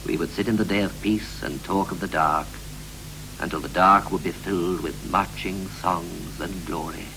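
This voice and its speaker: An actor playing a wistful married man reflecting on his life in a poetic 1960s radio play, with a measured, lyrical delivery. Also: low voice, fairly even intonation, vocal fry. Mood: brooding. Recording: hissy, humming 1960s radio recording.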